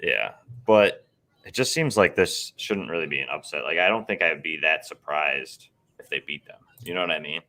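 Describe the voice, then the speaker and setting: A man with an extremely low, rumbling voice hosts a casual sports chat. His voice is going, strained and hoarse, and he keeps clearing his throat. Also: lively intonation, normal speed, bright, forceful tone. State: losing his voice. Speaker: podcast co-host.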